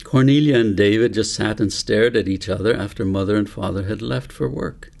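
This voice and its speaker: A man narrating a children's audiobook in a measured, deliberate storytelling manner. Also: on the low side, creaky, darkish tone. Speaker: author reading own book aloud.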